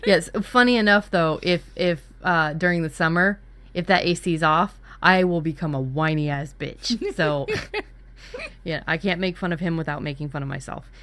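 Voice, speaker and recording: A woman's voice: on the low side, expressive, normal speed, a bit bright. A woman in casual brainstorming chat as a workshop co-host, talking candidly and dramatically, with some swearing. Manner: candid, sweary, dramatic about spooky ideas.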